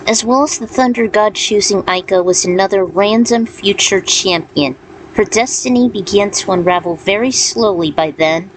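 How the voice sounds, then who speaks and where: A woman's voice: medium pitch, moderate pace. A woman reading her own fan fiction and author's notes as a storyteller. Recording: clearly noisy background.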